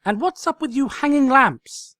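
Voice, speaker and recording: A man's voice: lively intonation, normal speed, bright, forceful tone, no room sound, studio quality, full character voice. A man voicing a manic, bossy young female unicorn in a comedic story reading, ranting and melodramatic. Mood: snappy, accusing. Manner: shrill, ranting, insistent.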